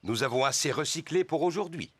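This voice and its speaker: A man's voice: fairly high pitch, animated, brisk, close to an everyday voice. A man voicing a jolly, good-natured father pig in a cartoon, hearty and fatherly. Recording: cartoon music behind the voice.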